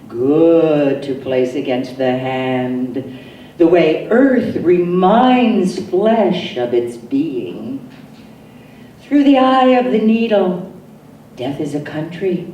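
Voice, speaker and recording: A woman poet recites in a mellow, fairly low voice, lingering dramatically over each phrase with deliberate pauses. Her delivery is expressive and unhurried. The recording is very roomy and distant, with faint noise behind her. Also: highs cut off. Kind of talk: poetry reading, recited expressively.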